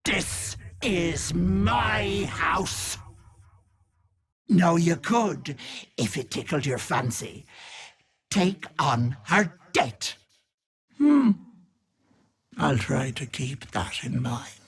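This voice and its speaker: An actress voicing a wicked old hag with a raspy, cackling voice, taunting with sugary pet names. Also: female voice, low-pitched, lively intonation, extremely slow, pauses often, full character voice. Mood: menacing, teasing. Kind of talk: video game voice acting.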